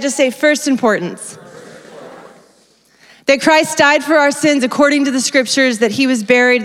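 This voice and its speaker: A woman preaching at a church conference in an energetic, conversational way, often correcting herself mid-sentence. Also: on the high side. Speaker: conference speaker. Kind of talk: sermon. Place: large church hall. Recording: long reverb of a church hall.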